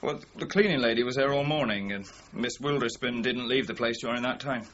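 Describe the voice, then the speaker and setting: A man's voice: mid-range voice, brisk, fairly bright tone, close to an everyday voice. An actor playing a deferential British police officer in a radio drama, giving a matter-of-fact report to his superior. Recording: dull lo-fi radio recording, faint noise.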